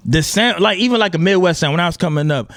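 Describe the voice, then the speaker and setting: A man's voice: high voice, lively intonation, quick pace, a bit bright, no echo, clean studio sound. A man arguing bluntly in a casual, heated panel debate as a podcast panelist. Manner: blunt, argumentative.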